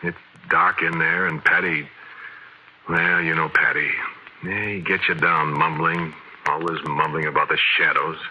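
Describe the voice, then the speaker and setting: An actor playing a shaken, haunted man who confides a ghostly encounter in halting, emphatic phrases, in the style of old-time radio horror. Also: very deep voice, flat delivery, breathy edge, lo-fi sound, heavily acted. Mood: brooding. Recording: vintage radio recording.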